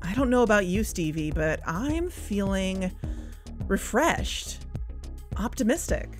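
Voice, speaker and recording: A woman's voice: mid-range voice, expressive, slow. A woman playing an earnest, candid podcast co-host, speaking in a conversational scripted style. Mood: upbeat, resolved. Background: background music.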